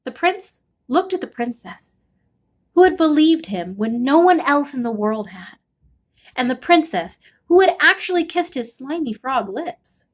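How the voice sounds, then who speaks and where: A woman reading a children's picture book aloud as an expressive storyteller. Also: sits high, unhurried.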